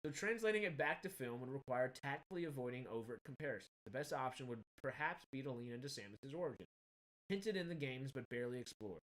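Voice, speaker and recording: A man in his thirties with a slight Texan accent, hosting casual film news commentary in an animated, rambling, emphatic way. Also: mid-range voice, fast talker, rough recording. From Texas. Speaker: film news show host.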